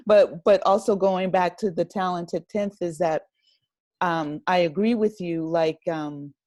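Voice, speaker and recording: A woman with a lowish voice speaks in a relaxed conversational interview. She explains in a thoughtful, halting way, working through her points piece by piece. The sound is dry and close, with very little room in it. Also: no echo. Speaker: podcast guest, writer and director.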